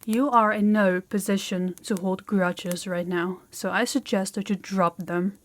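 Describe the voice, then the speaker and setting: An imperious young princess in a fantasy audio roleplay, played by a woman. She has a mid-pitched female voice and talks deliberately, with haughty condescension and an air of command. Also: steady tone, lightly acted. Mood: stern, exasperated.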